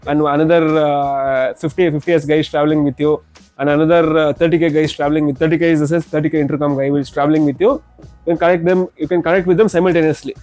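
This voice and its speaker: A man's voice: on the high side, level tone, fast, a little mellow, dry recording, soft background music. A man with an Indian accent giving an informal product demonstration as a shop salesman, often repeating words and phrases as he explains.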